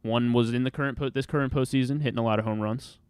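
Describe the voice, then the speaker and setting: A clear, smooth-voiced American man in casual sports radio talk. His voice sits at a middling pitch, recorded very dry in a studio. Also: fairly even intonation, fast talker, darkish tone, no room sound. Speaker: radio show co-host. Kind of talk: casual sports talk, trivia and schedule rundown.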